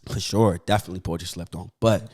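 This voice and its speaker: A young man, a guest poet chatting on a podcast, halting and full of repeated words and fillers. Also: low voice, steady tone, darkish tone, breathy edge. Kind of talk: casual podcast chat.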